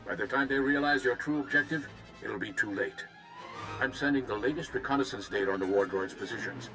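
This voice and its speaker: A man playing an earnest, steady Jedi commander who gives serious tactical briefings in a video game. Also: medium pitch, a little fast, lightly acted. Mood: serious, cautious. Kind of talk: video game cutscene acting. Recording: long hall reverb, faint game music.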